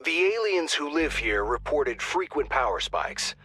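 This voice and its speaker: A fairly high, forceful male voice plays an earnest intel officer in a video game. He delivers mission updates informally and alertly over light music. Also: sing-song, a little slow, husky, heavily acted. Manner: conversational, alert. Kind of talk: scripted video game radio briefing. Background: faint music.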